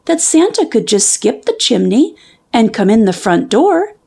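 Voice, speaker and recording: A woman's voice: a little high, very animated, a little slow, vocal fry, dark tone, husky, heavily acted. A woman voicing an earnest, curious young girl bear cub in a children's storybook, full of wondering questions. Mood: pondering.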